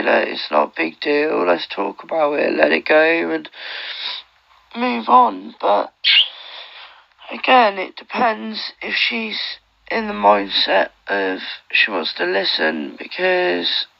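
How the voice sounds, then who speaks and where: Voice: medium pitch, takes it slowly, vocal fry, muffled recording. A person chatting casually and giving advice in a rambling, repetitive way, swearing often, over walkie-talkie audio.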